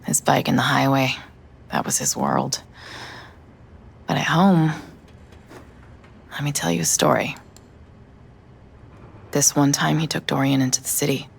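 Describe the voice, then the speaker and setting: An actress playing a worn-down, bitter widowed mother of three in a video game, her voice low and husky. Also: animated, measured pace, long pauses, a little hiss or hum, full character voice. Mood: bitter, grieving.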